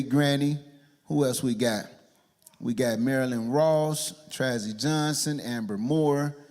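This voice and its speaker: Man, church livestream host, energetic, rallying the crowd, mid-pitched. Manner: energetic, rallies viewers and studio audience. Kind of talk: hyped livestream hosting. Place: church studio with live audience.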